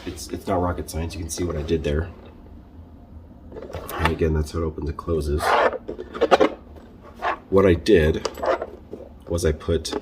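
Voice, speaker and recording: A man with a very low voice talks through a casual how-to vlog. He is conversational and halting, often doubling back to correct himself. Clear background noise sits behind his voice. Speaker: video creator showing a car radio install.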